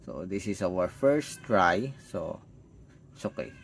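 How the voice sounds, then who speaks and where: A man's voice: sits low, animated, takes it slowly, creaky, slight background noise. A man giving an unscripted, step-by-step hands-on product demo, thinking aloud as he tests. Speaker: tech product reviewer.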